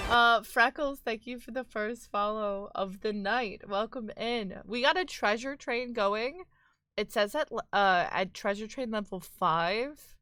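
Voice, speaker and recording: A woman with a stuffy, congested voice that sounds worn out, chatting unhurriedly on a live stream. She greets and thanks viewers in a relaxed, chatty way. Her voice sits close and dry, with no room around it. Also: medium pitch, no room sound. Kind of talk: casual live-stream chat.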